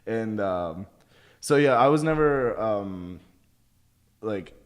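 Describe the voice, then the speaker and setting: Low-voiced young man chatting. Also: lively intonation, takes it slowly. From Pakistan. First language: Urdu. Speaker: podcast guest. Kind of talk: casual conversational interview.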